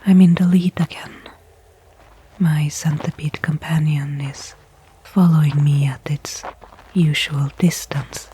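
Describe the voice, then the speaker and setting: A woman playing a weary but hopeful stranded space worker in a whispered ASMR sci-fi roleplay, speaking in hushed, reflective narration with pauses. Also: deep voice, steady tone, slight background noise, rough recording.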